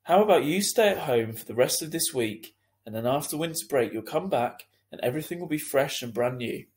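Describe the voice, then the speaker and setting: A man playing an earnest, lenient school head in a children's story, reasoning in a measured, hesitant way. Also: a little high, animated, darkish tone, close to an everyday voice. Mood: kindly.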